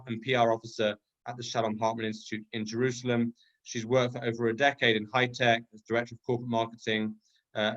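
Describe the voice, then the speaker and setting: A man's voice: mid-range voice, fairly bright tone. A British man from the UK hosting an online event and introducing a speaker, with many ums and restarts. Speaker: webinar host. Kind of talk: introducing speakers on a video call. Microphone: video call. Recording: dull lo-fi video call audio.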